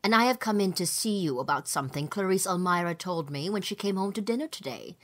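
A woman's voice: mid-range voice, animated, quick pace, lightly acted. A woman voicing a haughty, affected society matron with a pompous, insistent manner in an audiobook. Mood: haughty, indignant. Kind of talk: audiobook character dialogue.

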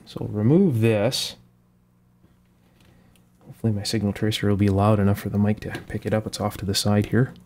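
A man's voice: on the low side, steady tone, a little fast, long pauses, darkish tone, a little hiss or hum. A man talking through a hands-on repair step by step, unscripted, methodically thinking aloud at an indoor workbench. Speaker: host of an electronics repair channel. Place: indoor workshop bench.

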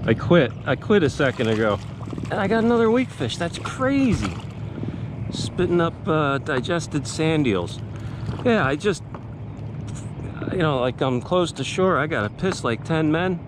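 A man's voice: a little high, big pitch swings, unhurried. A middle-aged man talking bluntly and profanely in a rambling self-filmed fishing vlog, first from a kayak on open water, then from inside a car. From New Jersey. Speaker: fishing vlogger. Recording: loud outdoor and vehicle noise. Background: loud wind, water and road noise.

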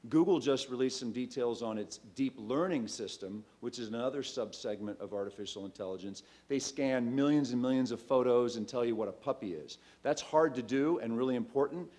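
Man giving a casual startup pitch. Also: a little fast, few pauses, darkish tone. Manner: loose and conversational, with frequent ums and restarts. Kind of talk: conference pitch talk. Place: large darkened auditorium stage. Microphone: stand mic on stage.

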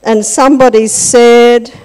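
Give me an older woman with a slightly high voice, giving a chatty children's talk into a handheld mic in a big church hall with long reverb. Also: steady tone, unhurried, darkish tone, clean tone. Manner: chatty, teasing, asks the children questions. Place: large church hall with congregation. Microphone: handheld microphone.

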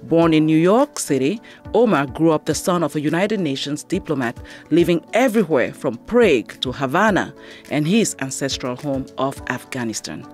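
A middle-aged woman hosting an interview in a radio studio, speaking on a boom mic and encouraging her guest with frequent affirmations. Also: lively intonation, normal speed, darkish tone, faint music behind. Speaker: interview host. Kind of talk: casual interview talk.

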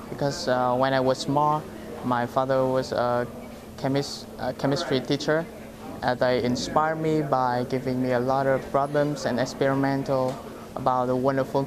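A young man, speaking hesitantly with many fillers. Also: medium pitch. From Vietnam. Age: late teens. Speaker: student competitor. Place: busy indoor university lobby. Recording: crowd chatter behind.

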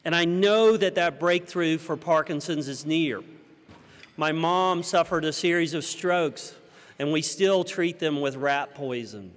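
A man's voice: fairly high pitch, a little slow. American man giving keynote in reverberant hall. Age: 50s. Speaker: keynote speaker. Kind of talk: prepared conference speech. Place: large conference hall stage, indoors. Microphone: podium microphone.

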